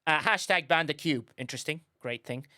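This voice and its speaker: A man's voice: fairly high pitch, brisk, bright, forceful tone, no echo, studio quality. A man hosting a livestream, chatting casually in a rambling way and often correcting himself as he goes. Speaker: livestream host.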